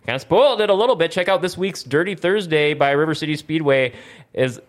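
A man's voice: on the high side, a little fast. Rambling male podcast host. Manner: rambling, stumbles over words and corrects himself. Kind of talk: casual video game talk show with plugs and sponsor reads.

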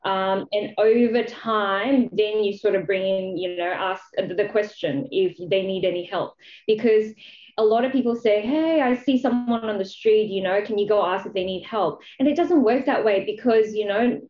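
A woman's voice: medium pitch, moderate pace, darkish tone. Woman in a dull, echoey recording. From Malaysia. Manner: conversational, explanatory, often adds "you know". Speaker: charity founder, interview guest. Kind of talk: casual interview storytelling. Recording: dull, roomy, echoey sound.

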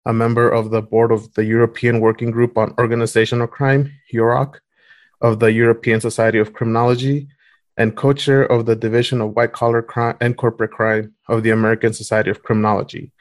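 A man co-hosting a podcast, reading a formal guest introduction in an even way. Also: medium pitch, brisk. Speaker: podcast co-host.

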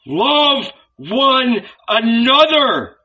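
A man's voice: high voice, takes it slowly, sharp tone, highs cut off, voice pushed up, exaggerated voice. A man voicing an impassioned male prophet who preaches to a crowd in a raised, imploring voice. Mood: crying out. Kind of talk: audiobook character voice.

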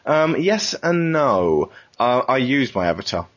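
A man's voice: medium pitch, big pitch swings, unhurried. A man co-hosting a casual podcast, chatting and reading out listener messages. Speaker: podcast co-host. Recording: dull lo-fi podcast audio.

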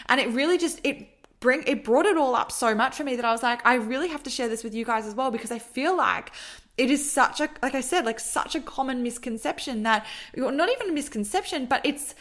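A young woman talks alone into a podcast mic, earnest and circling back on her points with lots of "like" and "okay." Her voice sits a little higher than most women's. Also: a bit bright. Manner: earnest, repetitive, filler-heavy with frequent "like". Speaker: podcast host and mentor. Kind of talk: solo podcast monologue.

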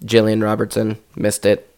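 A man in casual podcast chat, reading fight results haltingly with many pauses and fillers. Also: lowish voice, monotone, a little mellow, smooth. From United States. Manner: halting, many ums, reads results from notes.

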